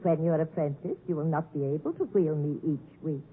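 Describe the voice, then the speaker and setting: An actress playing an imperious, eccentric rich old spinster in theatrical, commanding 1950s radio drama style. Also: female voice, deep voice, brisk, slightly rough. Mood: grandly mocking. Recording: muffled narrow-band 1950s radio recording.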